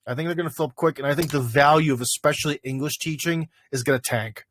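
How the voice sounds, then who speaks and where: A man's voice: a little high, fast talker, fairly bright tone, a little hiss or hum. A man hosting a casual livestream, answering viewer questions in a rambling way with lots of fillers. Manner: rambling, filler-heavy, thinking aloud.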